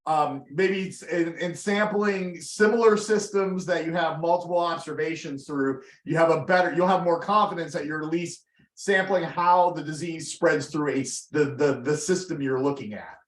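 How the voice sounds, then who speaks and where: Animated man in an echoey room. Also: a little high, moderate pace. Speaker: seminar presenter, disease researcher. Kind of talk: academic discussion. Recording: roomy echo.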